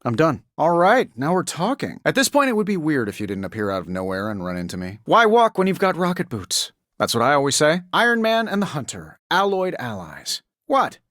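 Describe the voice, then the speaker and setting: An actor in a video game playing a cocky, quick-witted billionaire inventor superhero with a sardonic, glib, conversational delivery. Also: male voice, a little high, wildly swooping pitch, a bit bright, no room sound, studio quality. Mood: wry, flippant.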